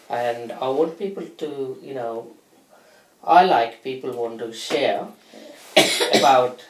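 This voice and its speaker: A man interviews a child as an educator, speaking with phrasing and encouraging him often with "great" and "brilliant". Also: medium pitch. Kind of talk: interview questions. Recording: roomy, from a distance.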